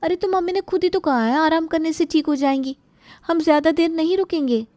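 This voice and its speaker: A woman's voice: very high-pitched, a little fast, a little mellow, no room sound, voice pushed up. A woman voicing a gossipy, scheming young daughter-in-law who feigns innocence in a moral story. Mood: coaxing.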